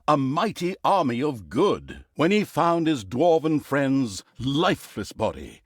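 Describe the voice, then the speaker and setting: A man's voice: medium pitch, sing-song, measured pace, no echo, clean studio sound. A man playing a pompous, sardonic storyteller in a fantasy video game, narrating in a theatrical mock-epic style with wry, mocking emphasis. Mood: grandly wry.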